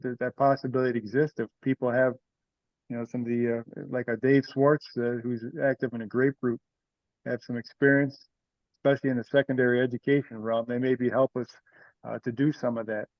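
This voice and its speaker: An American man moderating a meeting in casual talk, hesitant and thinking aloud. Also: mid-range voice. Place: online video or teleconference. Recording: dull, lo-fi conference call audio.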